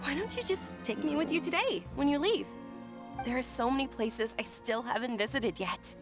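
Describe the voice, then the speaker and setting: A sheltered young lady of wealth in a game, bright and high-pitched for a woman. She speaks gently and coaxes her friend, game music behind her. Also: animated, moderate pace. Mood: pleading. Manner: gentle, pleading. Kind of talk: game voice acting.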